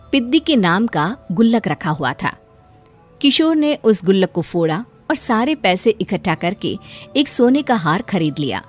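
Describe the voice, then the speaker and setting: Woman narrating a story over music. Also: medium pitch. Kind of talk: scripted cartoon story narration.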